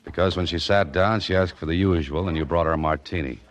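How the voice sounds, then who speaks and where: A man's voice: deep voice, fast, close to an everyday voice. An actor playing a wisecracking, hard-boiled detective who narrates his own case in an old radio drama. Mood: wry. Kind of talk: 1940s radio acting.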